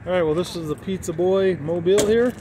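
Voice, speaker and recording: Informal man, higher-pitched voice, noisy outdoor recording. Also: fast talker. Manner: informal, jokey, mildly profane. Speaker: amateur video host touring CB radio truck setups. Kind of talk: casual walk-around commentary. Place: parking lot, in and around a pickup truck. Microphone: handheld phone or camera.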